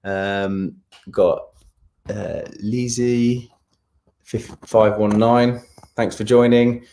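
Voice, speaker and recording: A man with a low voice and an English accent hosts a live travel stream. He chats casually as he reads out questions from viewers and answers them, sometimes humming to himself while he searches. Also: fairly even intonation, very slow, mellow. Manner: hums filler sounds while scanning for comments. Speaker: travel content creator and live stream host.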